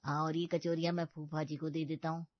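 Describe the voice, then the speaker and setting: A woman's voice: low-pitched, flat delivery, a little fast, no room sound, dull audio, lightly acted. A woman voicing a hospitable, eager older village uncle in a Hindi comedy cartoon. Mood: polite, accommodating.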